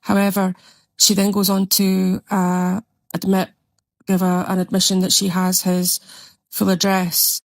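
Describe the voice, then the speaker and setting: A woman's voice: medium pitch, completely flat delivery, measured pace, no echo. A woman narrating over screenshots in an indignant, condemning tone. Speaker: commentary narrator.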